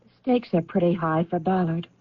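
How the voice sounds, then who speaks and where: An actress playing an anxious career woman convinced of a plot against her, halting and agitated, in radio drama style. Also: fairly low pitch, no room sound, lightly acted. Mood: insistent, agitated. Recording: dull, band-limited old radio recording.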